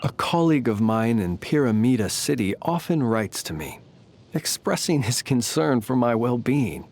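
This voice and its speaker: An ancient gentleman soldier from a video game, a man who only passes for human. His mid-pitched voice is courtly and measured. He phrases everything formally and politely, with dry understatement. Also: very animated. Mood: courteous, reflective. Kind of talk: scripted game character voice lines.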